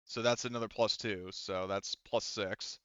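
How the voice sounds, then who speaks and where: A man's voice: fairly low pitch, steady tone, measured pace, fairly bright tone, clear, resonant tone, no room sound, dull audio. A man casually narrating and refereeing a tabletop roleplaying game as game master, with some swearing.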